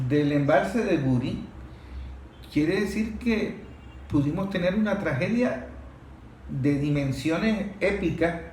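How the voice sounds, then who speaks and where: A man's voice: on the high side, darkish tone. A man hosting an interview, commenting in a conversational way. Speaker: host. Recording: echoey room, faint noise.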